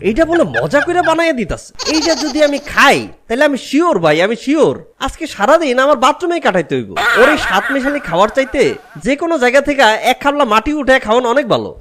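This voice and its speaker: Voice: sits high, sing-song, fast talker, noisy background. A performer voicing everyday characters in a cartoon, in dialogue. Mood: grumbling. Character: assorted cartoon figures: snack vendor, grumbling customer, schoolboy, father.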